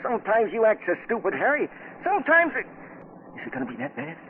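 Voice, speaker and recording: An actor playing a scrappy, folksy older boat-hand with ungrammatical, excitable talk in a 1940s radio drama. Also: male voice, high-pitched, fast. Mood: uneasy. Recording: noisy, tinny 1940s radio recording.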